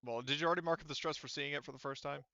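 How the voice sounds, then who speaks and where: A man's voice: fairly high pitch, steady tone, rapid-fire, sharp tone, muffled recording, a little hiss or hum. A man running a casual tabletop roleplaying session as game master, explaining rules and thinking aloud as he goes.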